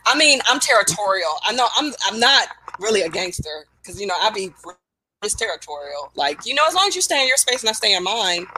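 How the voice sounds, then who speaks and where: Bright-voiced woman chatting animatedly. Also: medium pitch, fairly quick, slight background noise, rough recording. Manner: animated, self-mocking storyteller. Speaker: podcast co-host. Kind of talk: casual chat.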